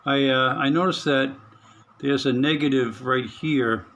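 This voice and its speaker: A middle-aged man at a mid pitch talks casually through his hobby radio repair. He halts often, fills gaps and chuckles softly to himself. Faint room sound sits behind him in the small spare room. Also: muffled recording, a little hiss or hum. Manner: halting, many fillers, chuckles to himself. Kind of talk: casual hands-on narration.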